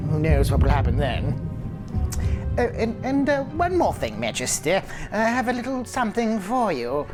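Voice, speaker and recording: Woman acting a sly, courtly old gnome man. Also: fairly low pitch. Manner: formal, fawning, elaborate courtly phrasing. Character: sly, deferential elderly male gnome courtier and tutor.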